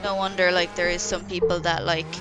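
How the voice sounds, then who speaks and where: A woman's voice: lowish voice, level tone, a little fast. A young Irish woman chatting casually as a co-host on a gaming stream, explaining things with plenty of laughs. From Ireland. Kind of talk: casual chat. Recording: noisy stream with car sounds.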